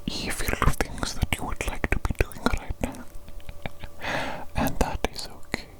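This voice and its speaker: A woman voicing a fussy, gleeful evil doctor in a whispered ASMR roleplay, softly repeating her phrases. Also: a little slow, natural voice.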